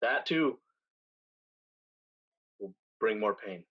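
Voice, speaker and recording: Husky, solemn pirate captain, acted by a man. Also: lowish voice, animated, highs cut off, exaggerated voice. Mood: weary, burdened. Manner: measured, deliberate delivery with dramatic pauses. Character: seasoned male pirate captain, weary, solemn, tender, once brash. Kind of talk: staged reading of a stage play.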